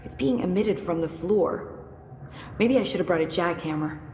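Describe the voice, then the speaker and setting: An actress playing a witty, curious young research scientist in a video game, explaining things in a precise, wry, chatty way. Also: female voice, lowish voice, animated, moderate pace, a little mellow, lightly acted. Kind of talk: video game dialogue acting. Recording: echoey hall reverb with noisy game ambience.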